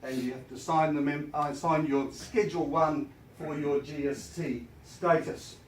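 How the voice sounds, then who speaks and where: Croaky New Zealand auctioneer in echoey room. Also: male voice, fairly high pitch, fairly even intonation. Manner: brisk, formal, then enthusiastic selling. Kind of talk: formal auction preamble and sales pitch. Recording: echoey room, mic at a distance, faint noise.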